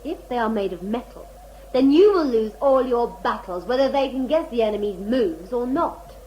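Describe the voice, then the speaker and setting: An actress playing a proud, fierce warrior huntress who speaks in solemn, terse, formal words in an audio drama. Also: female voice, fairly high pitch, lively intonation, normal speed, warm tone, slight background noise, natural voice, low-quality recording. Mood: scornful, solemn. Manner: solemn, terse, formal speech without contractions.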